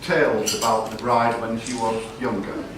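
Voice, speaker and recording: An older man delivers a father-of-the-bride speech in a jokey, rambling way, backtracking and correcting himself mid-sentence. His voice sounds far from the microphone and bounces around a big echoey room, with steady noise behind it. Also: medium pitch, normal speed. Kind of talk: wedding speech. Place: wedding reception hall. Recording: echoey hall from far off, noisy.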